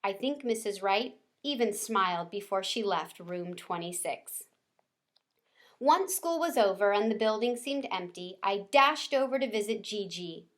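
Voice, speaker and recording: A woman's voice: mid-range voice, expressive, unhurried, a bit bright. A woman narrating a children's book as a read-aloud storyteller.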